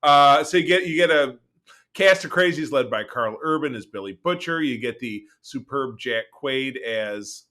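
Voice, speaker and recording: A man co-hosting a pop-culture podcast in casual, rambling and excitable chat, with some swearing. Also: mid-range voice, moderate pace. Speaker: podcast co-host.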